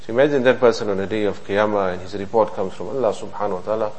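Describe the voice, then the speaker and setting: A man giving a hadith lesson in an earnest, repetitive preaching manner, asking rhetorical questions and reciting Arabic quickly. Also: lowish voice, fairly even intonation. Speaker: religious teacher.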